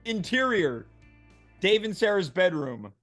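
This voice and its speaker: A man's voice: high voice, big pitch swings, a little slow, fairly bright tone. A man reading scripted stage directions aloud at a comedy table read, with music behind him.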